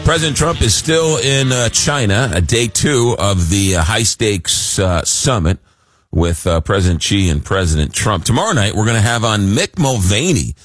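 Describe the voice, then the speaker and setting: A man hosting a radio call-in show, talking casually and haltingly in a droll way, slightly hoarse from a cold. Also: on the low side, animated, no echo, faint music behind. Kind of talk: casual live talk radio monologue. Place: radio studio. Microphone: close broadcast mic.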